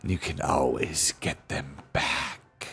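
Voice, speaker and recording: A man voicing a sly, fawning old hag in a storybook, with a shrill, whiny voice and a drawn-out delivery. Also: steady tone, gravelly, a bit bright, slightly rough, soft background music, heavily acted.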